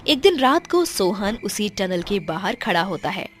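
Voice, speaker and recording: A woman's voice: medium pitch, very animated, measured pace, a little hiss or hum. A woman narrator reading a scripted horror story for a cartoon.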